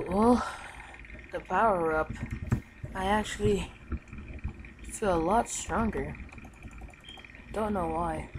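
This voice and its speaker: A woman improvising a video game roleplay, talking haltingly with sighs. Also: medium pitch. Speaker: player and narrator of a roleplay series. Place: indoors at a computer. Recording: noisy home recording.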